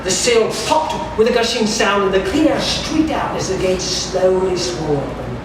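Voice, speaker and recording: A man's voice: sits high, moderate pace. A man reading fiction aloud at a live event, now and then stumbling over and repeating words. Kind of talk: live literary story reading. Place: live event at a steampunk carnival. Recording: echoey distant recording, loud event noise. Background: loud ambient event noise.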